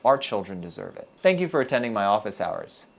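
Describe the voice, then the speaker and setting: Earnest, lively-voiced male lecturer. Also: on the low side. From Texas. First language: English. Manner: measured, earnest, deliberate delivery. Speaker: university lecturer. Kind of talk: scripted video lecture to camera.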